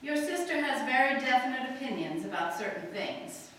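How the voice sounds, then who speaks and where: A woman's voice: high voice, sing-song, normal speed, a bit bright. A woman playing a genteel, mildly scolding mother in a live old-time radio drama. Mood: gently scolding. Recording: echoey hall, distant mic, faint noise.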